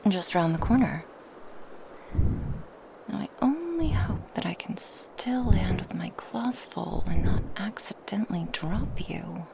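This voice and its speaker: A woman's voice: lowish voice, gravelly, noisy background, heavily acted. A woman voicing a huge, proud dragoness in an ASMR roleplay, speaking formally to her human, teasing yet protective, at times whispery. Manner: slightly formal phrasing, teasing, reassuring. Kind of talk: ASMR roleplay monologue addressed to the listener.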